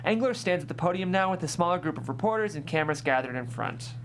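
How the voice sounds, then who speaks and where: A woman hosting and narrating, speaking in a clear presenter's way as she reads or introduces. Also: fairly low pitch, lively intonation, fast talker, slight background noise. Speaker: host and stage-directions narrator. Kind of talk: scripted narration and interview hosting.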